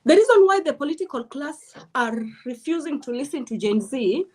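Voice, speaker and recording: A woman's voice: high-pitched, very animated, normal speed, darkish tone. A woman from Kenya, giving blunt, emphatic political opinions as an interview guest.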